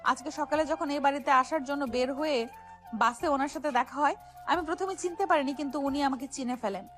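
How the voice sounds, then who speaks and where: Young heroine played by a woman for romantic audio drama: high-pitched, emphatic, wounded but firm, over soft music. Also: lightly acted. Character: wronged young woman, stern yet deeply hurt daughter. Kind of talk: Bengali audio story drama acting. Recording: music behind the voice.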